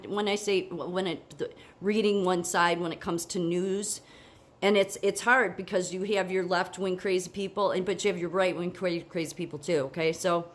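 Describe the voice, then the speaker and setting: Sniffly woman with a cold, chatty. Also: lowish voice. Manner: chatty, frequent restarts and asides, asks "okay?". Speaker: political commentator. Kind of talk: casual commentary reading a news article aloud.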